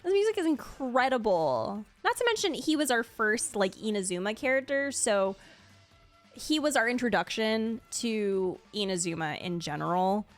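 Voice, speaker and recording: A woman giving casual, gushing reaction commentary in a conversational, expressive way. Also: on the high side, normal speed. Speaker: streamer ranking game character demos. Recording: music playing behind the voice. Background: background music.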